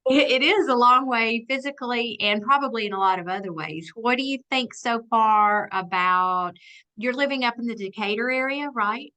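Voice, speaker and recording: A woman's voice: fairly high pitch, normal speed, bright, forceful tone, poor audio. A woman from Alabama, hosting a conversational interview and asking friendly, encouraging questions. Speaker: interviewer, association president.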